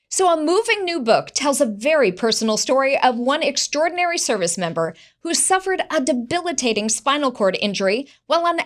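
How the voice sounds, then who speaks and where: A woman's voice: high voice, a bit bright. A woman hosting a television health interview, introducing a guest and asking questions. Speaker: host.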